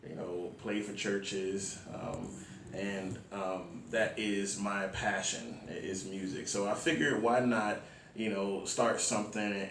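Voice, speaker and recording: A deep-voiced man from Miami talks as a guest in a casual interview. His phrasing is informal and halting, padded with ums. He is recorded away from the mic in an echoey room. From Miami, Florida, United States. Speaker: interview guest, music platform founder. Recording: echoey room, distant mic, faint noise.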